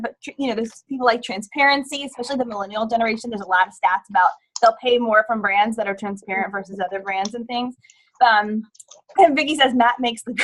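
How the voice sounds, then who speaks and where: A woman's voice: a little high, fairly bright tone, rough recording. A woman panelist talking casually on a live online panel, chatty, with lots of "like" and "um". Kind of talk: casual panel chat on a livestream.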